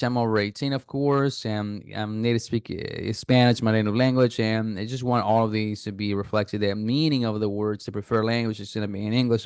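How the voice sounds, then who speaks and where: A man's voice: on the low side, clean tone, no echo, clean studio sound. A man casually talking viewers through signing up for an app, thinking aloud with fillers and restarts. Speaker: app reviewer. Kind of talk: casual screen-recording walkthrough.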